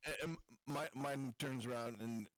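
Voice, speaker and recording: A man playing a tabletop game, improvising his character's thoughts in a halting, blunt, casual way. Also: medium pitch, a bit bright, no room sound, low-quality recording.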